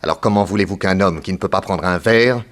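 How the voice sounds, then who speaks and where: An actor playing a shrewd, commanding detective in a crime radio drama, authoritative with a wry, knowing manner. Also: male voice, low-pitched, brisk, fairly bright tone. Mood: coolly ironic. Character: shrewd, commanding male detective, coolly ironic.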